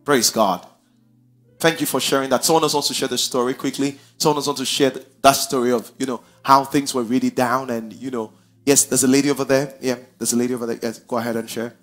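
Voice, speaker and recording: A man's voice: medium pitch, fairly even intonation, fast talker, a bit bright. Nigerian pastor on a big church stage, middle-aged guy, keeps repeating what people say back, faint music under it. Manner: repeats and echoes guests' answers, prompting them. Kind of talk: sermon with audience Q&A. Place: large church auditorium stage.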